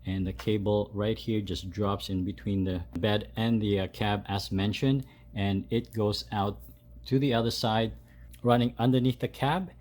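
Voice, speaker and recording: A man giving a casual, unscripted step-by-step tutorial as a DIY host, with halting delivery. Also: lowish voice, a little mellow. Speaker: host of a DIY truck modification video. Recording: clear background noise, ambient sound.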